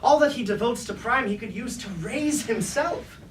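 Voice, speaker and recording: An actor playing a treacherous, boastful, fawning robot lieutenant, theatrical and florid in a staged reading of a tragedy. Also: male voice, extremely high pitch, moderate pace. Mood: smug. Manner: theatrical, florid, self-important. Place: indoor staged reading at a university. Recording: roomy, distant stage recording with light noise.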